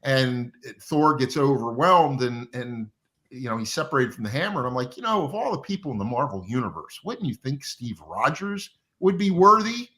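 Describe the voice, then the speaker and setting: Older-ish guy chatting casually as an interview guest, rambly storyteller, medium pitch. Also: sing-song, normal speed, pushed and bright. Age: middle-aged or older. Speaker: comic book artist and interview guest. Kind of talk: casual reminiscing interview chat.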